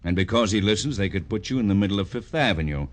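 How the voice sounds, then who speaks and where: A man's voice: fairly low pitch, animated, quick pace, natural voice. A man playing a pompous, easily cowed underworld big shot in a 1950s radio crime drama. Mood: menacing. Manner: boastful and menacing, pleading under pressure. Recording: old radio broadcast, faint background noise.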